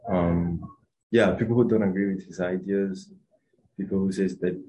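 A man's voice: low-pitched, steady tone, normal speed, dark tone, poor audio. A young man from Rwanda, a student answering interview questions in a hesitant, casual way with many fillers and restarts. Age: young adult.

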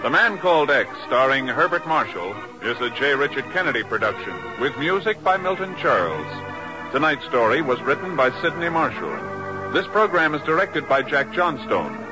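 A man's voice: mid-range voice, sing-song, normal speed. A man working as a radio announcer, delivering a scripted 1950s broadcast announcement. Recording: muffled old radio recording. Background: loud organ music.

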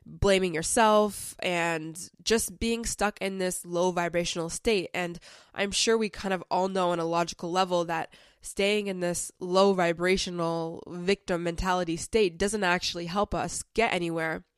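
A young woman with a lowish, smooth voice hosts a self-help podcast. She's a bit under the weather, so her voice sounds slightly off, but she keeps talking in a loose, chatty way full of filler words. She's close on the mic in a dry room. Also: steady tone, moderate pace, no echo. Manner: chatty and conversational, with frequent "like" fillers. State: slightly sick, voice a little off. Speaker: podcast host.

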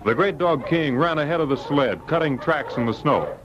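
A man's voice: on the high side, expressive, moderate pace. A man narrating a scripted vintage radio drama as its announcer, in a dramatic, declamatory style. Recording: muffled, noisy old radio recording.

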